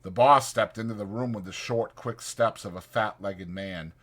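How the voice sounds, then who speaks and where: A man's voice: fairly low pitch, normal speed, fairly bright tone. A man reading scripted literary narration as an audiobook narrator.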